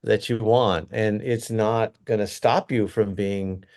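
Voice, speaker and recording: A man's voice: lowish voice, fairly even intonation, slow pace, darkish tone. A middle-aged man, a company executive, giving earnest, rambling interview answers full of restarts and fillers.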